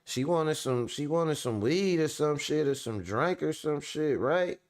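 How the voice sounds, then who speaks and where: A man's voice: fairly high pitch, lively intonation, measured pace. A man hosting a show in casual chat, talking in loose, joking, profane banter. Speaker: show host.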